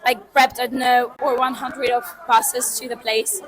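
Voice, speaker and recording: Flat-toned woman from Ukraine, crowd behind. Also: on the high side, moderate pace, poor audio. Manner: frank, flowing complaints. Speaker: scout leader.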